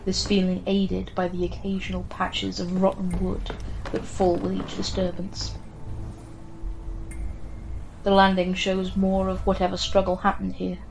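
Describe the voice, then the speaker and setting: A woman reading a scripted horror story in the second person, with a measured, grave delivery. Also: medium pitch, completely flat delivery, vocal fry, a little mellow, a little hiss or hum, rough recording. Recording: music behind the voice. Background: background music.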